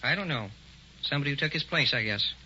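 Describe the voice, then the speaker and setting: An actor playing a chatty, worried hotel clerk in 1940s radio acting style. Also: male voice, medium pitch, expressive, fast, close to an everyday voice. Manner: folksy, chatty. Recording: dull old radio recording, faint hiss.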